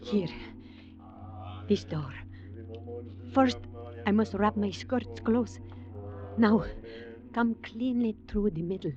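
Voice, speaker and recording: A woman's voice: mid-range voice, slow pace, pauses often, lightly acted. An actress playing a passionate, fearless fugitive woman in a 1940s radio drama, speaking in an imperious, ornate way. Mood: hushed, guiding. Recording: dull vintage radio recording with organ music.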